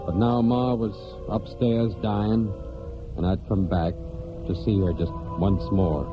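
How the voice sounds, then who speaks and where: An American actor playing a disgraced, brooding prodigal son who narrates in halting, clipped phrases in a 1940s radio drama. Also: male voice, on the low side, fairly even intonation. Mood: wistful, bitter. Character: disgraced prodigal son of a hard New England family, brooding. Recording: dull 1949 radio recording, music behind.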